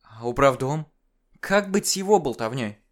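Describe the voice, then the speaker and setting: A man voicing a frightened teenage girl who speaks in urgent, shrill bursts in an audiobook. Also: animated, normal speed, fairly bright tone, natural voice. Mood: tense.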